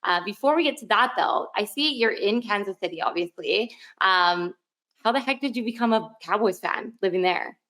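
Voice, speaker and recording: A woman's voice: medium pitch, lively intonation, normal speed, a bit bright. A woman hosting a sports podcast, chatting casually and informally with plenty of slang.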